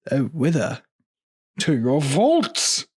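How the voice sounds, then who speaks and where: Man acting boastful, drunken wine connoisseur, raised pitch. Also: wildly swooping pitch, very slow, breathy edge, heavily acted. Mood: insistent. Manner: exclamatory, boastful, laughing scoffs. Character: drunken, boastful, excitable Italian wine connoisseur in carnival motley. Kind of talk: dramatic audiobook character voice.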